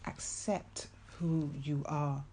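Slow, low-voiced earnest woman speaker. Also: steady tone, mellow, a little hiss or hum. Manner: earnest, emphatic, repeats key phrases. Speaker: motivational speaker. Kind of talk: faith-based motivational talk.

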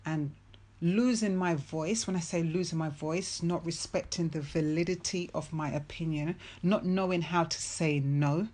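Slow, low-voiced earnest woman speaker. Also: a little mellow. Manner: earnest, emphatic, repeats key phrases. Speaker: motivational speaker. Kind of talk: faith-based motivational talk.

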